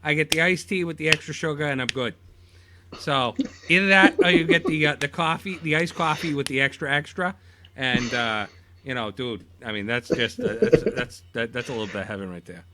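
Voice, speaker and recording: A young man talking casually on a show. He is animated and swears freely, with lots of fillers and restarts. His voice is bright and forceful and swings widely in pitch. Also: brisk, a little hiss or hum. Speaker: co-host. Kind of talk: casual chat.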